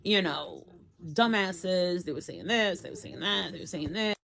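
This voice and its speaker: A woman streamer commenting on the news in rambling, off-the-cuff talk, halting and repeating herself for emphasis. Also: fairly low pitch, vocal fry, a bit bright.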